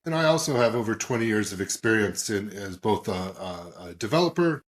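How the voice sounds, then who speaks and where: A man in his forties hosting a business podcast, talking in a rambling way with lots of fillers and animated emphasis. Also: on the low side, brisk. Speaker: podcast host and moderator.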